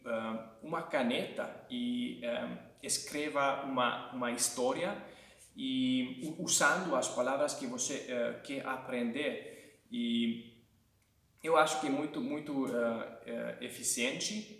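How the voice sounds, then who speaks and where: A man's voice: mid-range voice, fairly bright tone. Hungarian guy giving language tips, lots of ums and restarts, sounds a bit far off in a roomy space. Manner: hesitant, many fillers and restarts. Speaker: language-learning video host. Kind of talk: casual language-tips tutorial. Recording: echoey room, mic at a distance.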